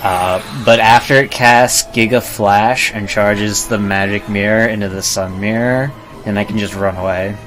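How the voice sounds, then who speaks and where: A man's voice: lowish voice, fairly even intonation, moderate pace, hardly any pauses, a bit bright, poor audio. A man giving casual, laid-back gameplay commentary with dry asides, as a live streamer playing a video game. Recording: game music behind the voice. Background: video game music.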